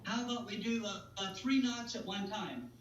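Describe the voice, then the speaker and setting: Male magician guest, high-pitched and playful, folksy patter, distant mic with faint noise. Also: lively intonation, moderate pace, reverberant. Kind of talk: chatty magic demonstration and interview.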